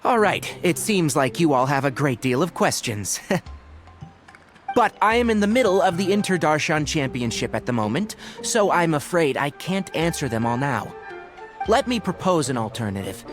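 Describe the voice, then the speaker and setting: A man voicing a gentle, scholarly young naturalist in an anime game, precise and dryly didactic. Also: fairly high pitch, sing-song, moderate pace, faint music behind. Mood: composed, dry.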